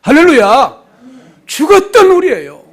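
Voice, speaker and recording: A male pastor delivers an expository sermon to his congregation with a fairly high voice. He preaches with fervor, dropping to soft, near-whispered phrases before rising to loud, ringing exclamations. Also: wildly swooping pitch, a little slow. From South Korea. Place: church sanctuary.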